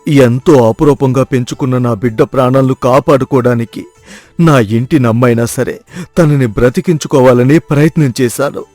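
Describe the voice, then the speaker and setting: A man's voice: medium pitch, steady tone, normal speed, a little mellow, no room sound, soft background music, lightly acted. A man voicing a grave, wounded old father who admonishes in a dramatized moral story. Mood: wounded, bitter.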